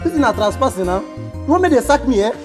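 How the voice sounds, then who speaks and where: A woman's voice: a little high, big pitch swings, fast talker. An actress playing an indignant woman in a drama, scolding and repeating herself in a Nigerian accent. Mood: indignant warning. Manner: scolding, repetitive. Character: indignant, warning household employee. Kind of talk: Nigerian Pidgin film drama acting. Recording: music behind the dialogue.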